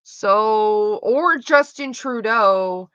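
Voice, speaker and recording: Bright-voiced, profane Canadian woman streaming. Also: mid-range voice, extremely slow, clean tone, no room sound, lo-fi sound. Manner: rambling and profane, loose with frequent fillers. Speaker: livestreamer. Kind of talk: casual livestream chat with viewers.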